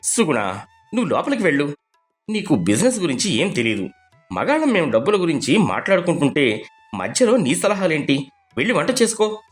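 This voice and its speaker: Man playing stern tight-fisted husband, lively delivery. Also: a little high, a little fast, long pauses, soft background music. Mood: dismissive. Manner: scolding, questioning. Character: stern, tight-fisted middle-class husband, bank clerk, belittling his wife. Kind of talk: Telugu animated moral story acting.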